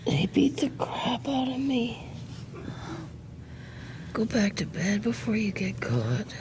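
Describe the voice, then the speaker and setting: A woman's voice: a little high, sing-song, takes it slowly, noisy background, exaggerated voice. An actress playing a blunt, irreverent young woman held captive in an audio drama, slangy and weary. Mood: weary, secretive.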